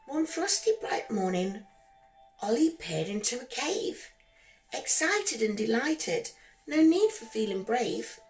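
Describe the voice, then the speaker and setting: A woman narrating a rhyming children's bedtime story in a gentle, expressive, singsong way, as a storyteller for young listeners. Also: fairly high pitch, takes it slowly. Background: background music.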